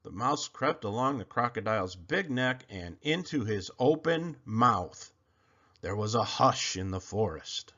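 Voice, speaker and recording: A man reading a children's picture book aloud in an expressive, animated storytelling manner. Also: fairly low pitch, a little slow. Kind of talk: children's read-aloud narration. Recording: slightly muffled recording.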